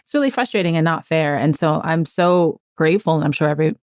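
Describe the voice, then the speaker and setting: A woman's voice: low-pitched, fast talker, darkish tone, no echo, studio quality. A woman in her forties hosting a casual podcast conversation, frank and outspoken, swearing freely. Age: 40s. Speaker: podcast host.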